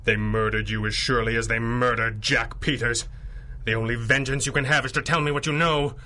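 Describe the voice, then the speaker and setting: Male actor as masked avenger, forceful bright voice, stern, commanding, dramatic, old-time radio serial. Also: medium pitch, steady tone, brisk, lightly acted. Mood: urgent, grave. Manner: grave, dramatic, authoritative. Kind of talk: 1930s-style radio drama acting.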